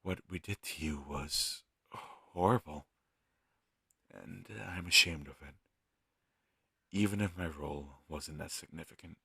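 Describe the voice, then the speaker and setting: A man playing an earnest, kind fantasy healer in an audio roleplay, speaking in a gentle, hesitant, slightly husky voice. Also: a bass voice, a little slow, pauses often, no echo, clean studio sound, full character voice. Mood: sincere, apologetic. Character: earnest, kind young male fantasy healer, self-doubting.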